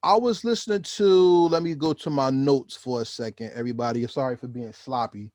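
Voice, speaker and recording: A man hosting an interview, enthusiastic and rambling, sometimes rushing into fast speech. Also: medium pitch, darkish tone, no room sound. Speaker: interview host. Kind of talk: casual interview.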